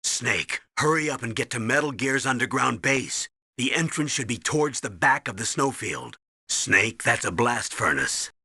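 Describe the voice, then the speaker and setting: An actor playing a stern older colonel in a video game, briefing and giving orders with a husky, commanding voice. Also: male voice, medium pitch, lively intonation, moderate pace, pushed and bright, no room sound, studio quality, exaggerated voice. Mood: urgent, commanding. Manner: commanding, urgent, instructive.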